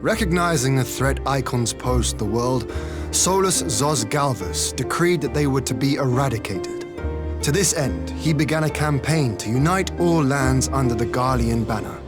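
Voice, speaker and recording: A man's voice: medium pitch, animated, moderate pace, music in the background, lightly acted. An actor playing an earnest, learned man in a video game, making polite, reasoned, formal explanations. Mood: measured skepticism.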